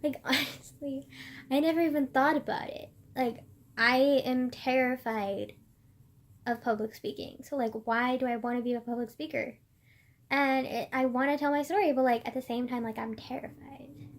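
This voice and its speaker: A young woman vlogger with a soft voice, talking in a rambling, hesitant way full of filler words. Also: high-pitched, fairly even intonation, moderate pace. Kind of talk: personal reflective vlog monologue.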